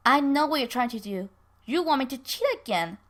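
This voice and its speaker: A woman storyteller acts out a husband on the defensive in a spoken drama. He sounds earnest and indignant, protesting emphatically in a lightish, fairly high voice. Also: animated, brisk, a bit bright. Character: defensive, indignant married man facing his old flame.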